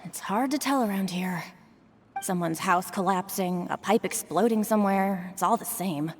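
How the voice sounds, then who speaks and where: A woman's voice: medium pitch, moderate pace, slight background noise, close to an everyday voice. A woman voicing a guarded, street-wise townswoman in a video game, wary and noncommittal, over music. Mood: wary, offhand. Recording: music playing behind the voice.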